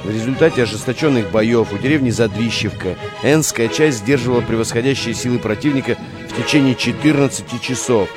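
A man's voice: medium pitch, brisk, few pauses, over music, close to an everyday voice. A man playing a cynical but gutsy relic hunter stranded in wartime, with a wry, colloquial, commanding way of talking. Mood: earnest storytelling. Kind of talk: Russian audio drama acting.

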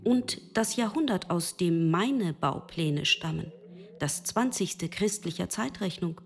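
A woman playing a lucid, patient machine in a literary radio play, lecturing the listener directly and deliberately. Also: lowish voice, expressive, faint music behind. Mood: measured, explanatory. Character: love-capable female machine, lucid, patient, lecturing philosopher.

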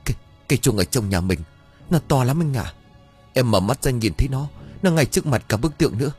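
Horror story role: terrified, tearful young wife played by a man, pitched up, whimpering and pleading. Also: a little slow, a little mellow, slightly rough, soft background music. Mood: panicked, shaken. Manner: stammering, whimpering.

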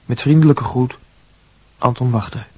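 An actor plays a young male student in a radio drama, meek and compliant with his sweetheart. The voice is husky, mid-pitched and closely recorded with a dry sound. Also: normal speed, no echo, close to an everyday voice, poor audio. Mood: stiff, apologetic. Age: young adult. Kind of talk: Dutch radio play acting.

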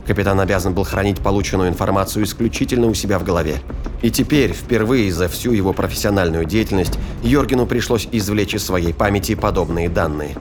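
A man narrating a scripted science-fiction thriller in a measured, formal storytelling manner. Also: low-pitched, noisy background.

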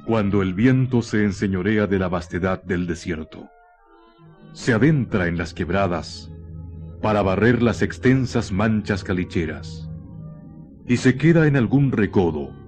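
A Chilean man narrating a scripted radio drama in a solemn, literary manner with measured phrasing. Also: fairly low pitch, lively intonation, darkish tone. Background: music.

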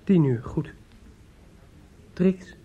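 An actor playing a troubled young grieving son, terse, hesitant and insistent, in a radio drama. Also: male voice, on the high side, animated, very slow. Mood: urgent, insistent. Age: young adult. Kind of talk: Dutch radio drama acting. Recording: dull, noisy old radio drama.

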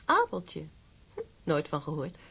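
An actress playing a cool, haughty young fiancée in a radio drama, polite, composed and condescending. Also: female voice, sits low, huge pitch swings, measured pace, creaky, fairly bright tone, slightly rough, heavily acted. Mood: cool, amused.

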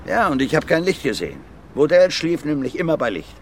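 An actor playing a gruff, blunt violent brawler in his fifties under questioning in a radio drama, plain-spoken and repetitive. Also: on the high side, big pitch swings, brisk, slight background noise. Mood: defensive, earnest. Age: 50s. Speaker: suspect being questioned.

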